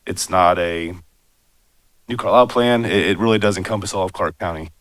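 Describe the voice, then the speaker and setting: Hoarse raspy man, very low voice. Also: brisk, fairly bright tone, no room sound. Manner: conversational, many fillers and asides. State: hoarse from a cold. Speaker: bicycle and pedestrian planner presenting. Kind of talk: public meeting presentation. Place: city commission chamber.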